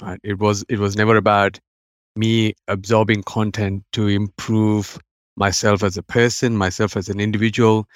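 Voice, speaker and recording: A man's voice: lowish voice, flat delivery, normal speed, dry recording, studio quality. A middle-aged man with an Indian accent, raised in India, talking reflectively as a podcast guest about his background, with frequent restarts and "you know". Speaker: guest, mental health educator. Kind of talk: podcast interview.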